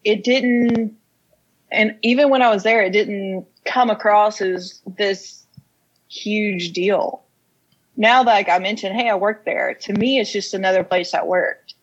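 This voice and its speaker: A woman's voice: mid-range voice, a little slow, breathy edge. A young woman from Kentucky with a Kentucky accent, telling stories casually and plainly as an interview guest, on slightly lo-fi audio. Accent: American, Kentucky. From Casey County, Kentucky, United States. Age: young adult. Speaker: interview guest, whiskey industry professional. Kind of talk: casual conversational storytelling.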